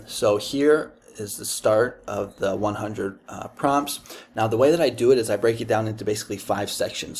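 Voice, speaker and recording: Gravelly low-voiced man with a Dominican accent. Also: darkish tone, a little hiss or hum. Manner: rambling, chatty, many ums and self-corrections. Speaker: YouTube host teaching print-on-demand design. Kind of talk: casual screen-share tutorial.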